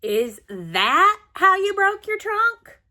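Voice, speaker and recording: A woman voicing an excitable, curious young female pig in a storybook, asking questions in a dramatic, exclamatory way. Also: very high voice, takes it slowly, voice pushed up, heavily acted. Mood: astonished, insistent.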